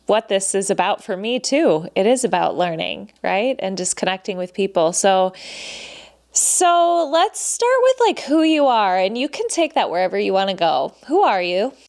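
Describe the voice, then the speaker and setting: A woman's voice: medium pitch, very animated, moderate pace, a little mellow. A woman hosting a podcast in a casual, chatty way, often laughing and saying "like". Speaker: podcast host. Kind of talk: casual conversational interview.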